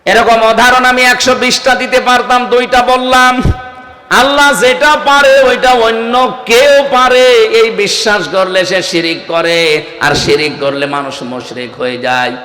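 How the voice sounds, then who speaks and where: A man's voice: very high voice, fairly even intonation. A man preaching a fiery, exhorting Islamic sermon to a gathering. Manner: exhorting, calls on listeners to answer louder; breaks into slow melodic Arabic recitation. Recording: echoing PA sound with long reverb.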